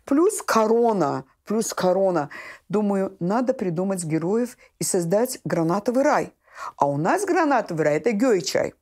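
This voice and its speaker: A woman's voice: medium pitch, big pitch swings, normal speed, darkish tone. A woman in her sixties from Azerbaijan, a children's writer talking expressively and emphatically as an interview guest, often repeating phrases for effect. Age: 60s. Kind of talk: animated conversational storytelling in a TV interview. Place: small indoor room set with shelves, books and textiles.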